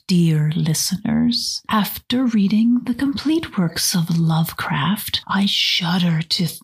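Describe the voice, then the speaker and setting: A woman hosting a podcast, speaking in a hushed, theatrical whisper. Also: medium pitch, slightly rough. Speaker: podcast host. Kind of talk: whispered spooky narration.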